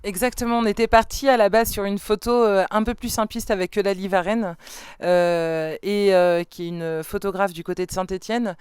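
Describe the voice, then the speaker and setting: A woman's voice: medium pitch, steady tone, moderate pace, a little mellow, clean tone, dry recording, studio quality. A woman singer answering interview questions in a thoughtful, hesitant way, with many fillers and restarts. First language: French. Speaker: band singer and songwriter. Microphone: studio microphone.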